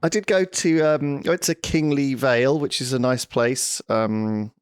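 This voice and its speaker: A man's voice: medium pitch, steady tone, unhurried, clean tone, no echo. A self-deprecating man chats on a podcast, stopping and restarting his sentences. His voice sits in a middle range and is recorded close and dry, with no room sound. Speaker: podcast co-host. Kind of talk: casual chat.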